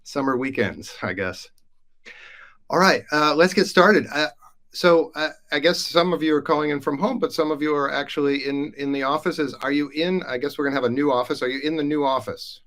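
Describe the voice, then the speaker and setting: A man's voice: on the high side, brisk, a bit bright. Upbeat man hosting a live podcast. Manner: upbeat, chatty, with frequent fillers. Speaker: host. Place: Denmark.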